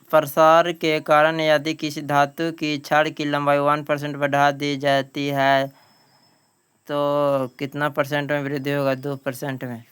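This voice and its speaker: A man's voice: fairly high pitch, fairly bright tone, clean tone. A man reading out practice questions and answers as a teacher, plain-spoken and repeating phrases. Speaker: teacher, exam coaching.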